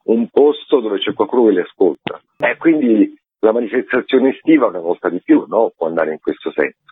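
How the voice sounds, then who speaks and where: A man's voice: lowish voice, lively intonation, fairly quick, husky, no room sound. A man giving spontaneous answers as a theatre director in a radio interview. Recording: narrow, tinny phone-like line, dry.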